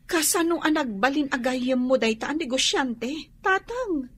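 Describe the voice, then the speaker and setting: An actress with an Ilocano accent playing a young student daughter who resists an arranged marriage in a radio drama. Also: female voice, high voice, expressive, normal speed, a bit bright. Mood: protesting.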